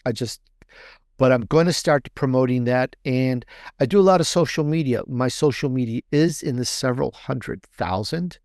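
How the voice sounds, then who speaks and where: A man's voice: medium pitch, normal speed, darkish tone, dry recording, studio quality. A man talking casually as a podcast guest, chatty and rambling, often repeating and restarting his phrases. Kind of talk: casual, conversational interview answer.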